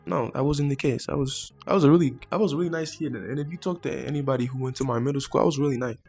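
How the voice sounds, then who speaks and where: A teenage boy from Mexico hosts his own vlog and talks casually to the camera. His voice has a gravelly, creaky edge, and he sits in a middling pitch range. He speaks in a laid-back, chatty way, repeating words and leaning on fillers. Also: very fast, a little mellow, faint music behind. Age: teens. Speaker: vlogger host.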